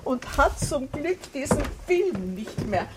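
A woman's voice: sits high, sing-song, takes it slowly, very noisy, full character voice. An actress playing a doting, rambling elderly mother with an Austrian accent in a radio drama, emotional and reproachful. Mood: nostalgic, reproachful. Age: older.

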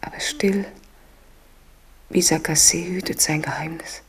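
Breathy, doomed royal mistress. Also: female voice, lowish voice, steady tone, a little slow. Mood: haunted dread. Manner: breathy, anguished, foreboding. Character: doomed royal mistress, fearful and tenderly devoted. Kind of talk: German radio drama acting.

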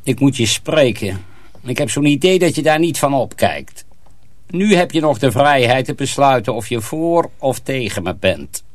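An actor playing a sinister, commanding sorcerer miller in a radio drama, persuasive and scornful. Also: male voice, mid-range voice, expressive, moderate pace, no room sound, close to an everyday voice. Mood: menacing.

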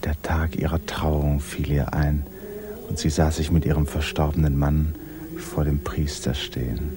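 A man narrating a 1950s German literary radio drama with measured, intimate storytelling. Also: very deep voice, level tone. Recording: hissy 1950s radio recording.

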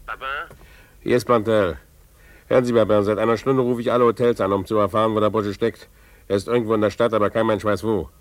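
A man playing a brisk, self-assured schemer in a 1950s German radio drama, giving clipped, urgent orders. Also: on the low side, little pitch movement, dry recording, lightly acted. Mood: urgent, commanding.

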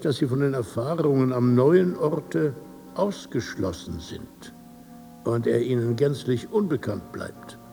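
A man playing an eminent, courteous poet in a radio drama, delivering a letter in measured, formal and gently admonishing phrases. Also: medium pitch, gravelly.

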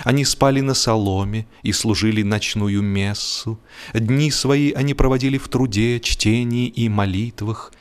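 A man reading a humorous literary story as an audiobook narrator, expressive and measured, with deliberate pauses. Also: fairly low pitch, clean tone.

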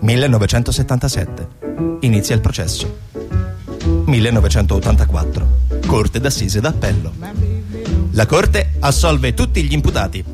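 Man acting lively, swooping theatrical narrator. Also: mid-range voice, normal speed, pauses often, lightly acted. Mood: official, sardonic. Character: dramatic narrator announcing dates, massacres and court rulings. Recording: loud music behind the voice.

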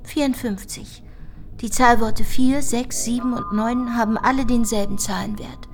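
An actress playing a clever, playful code researcher in a naturalistic radio drama, speaking precisely with a teasing edge. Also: female voice, on the high side, steady tone, measured pace, soft background music. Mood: precise, matter-of-fact.

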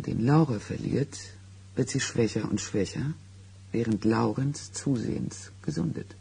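Radio drama role: haunted man confiding an old secret, very husky, mellow, halting and dramatic. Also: mid-range voice, full character voice. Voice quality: husky, gravelly. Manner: halting, dramatic storytelling with weighted pauses. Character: haunted man recounting an eerie childhood secret. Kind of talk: German radio play acting.

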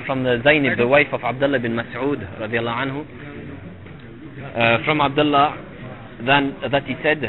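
A middle-aged man teaching a religious lesson to a live audience, halting and repeating himself and often checking with "you see?". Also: medium pitch. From Tunisia. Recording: phone-like lo-fi recording with crowd noise. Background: listeners gathered in the room.

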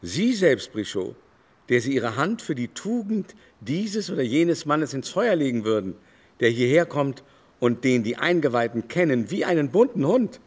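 An actor playing a haughty, worldly baron in a German radio drama, lecturing scornfully and emphatically at length. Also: male voice, medium pitch, very animated, moderate pace, darkish tone. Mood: emphatic, knowing. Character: haughty, worldly aristocratic baron, impatient know-it-all. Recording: music behind the voice.

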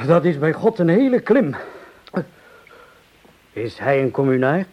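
An actor playing a gruff, scornful army doctor, a brusque and commanding major in a radio drama. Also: male voice, fairly high pitch, big pitch swings, a little slow, lo-fi sound. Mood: contemptuous.

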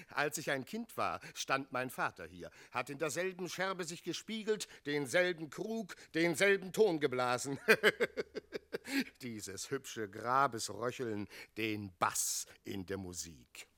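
An actor in a German radio play voicing Paolo, a grimly mocking street joker and tourist guide with a theatrical delivery. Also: male voice, mid-range voice, animated, slow, sharp tone, exaggerated voice. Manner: theatrical, breaking into unpleasant laughter.

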